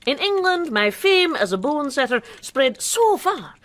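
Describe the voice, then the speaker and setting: A woman's voice: high-pitched, big pitch swings, unhurried, a bit bright, full character voice. A woman acting in a period radio drama as a pompous, boastful impostor who passes herself off as a male doctor. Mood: boastful, charming.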